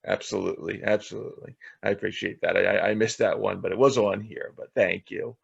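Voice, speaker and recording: Gravelly, low-voiced man hosting an interview. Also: steady tone, no room sound, lo-fi sound. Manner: halting, stumbles and repeats words. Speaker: show host, interviewer. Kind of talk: podcast interview introduction, reading a guest biography.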